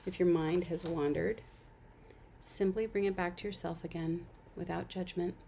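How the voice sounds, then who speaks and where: Woman, meditation guide, gentle unhurried delivery, frequent vocal fry, faint background noise. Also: lowish voice, steady tone. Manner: gentle, unhurried instructions with soft invitations. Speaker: meditation class instructor. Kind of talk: guided meditation, body scan narration.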